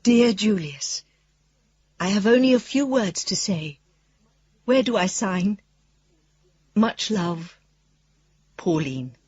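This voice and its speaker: Husky, expressive worldly woman. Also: medium pitch, slow, pauses often, no echo. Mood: fond, delighted. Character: fond, worldly bride writing letters. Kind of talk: radio drama, letter read aloud. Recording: dull, muffled radio recording.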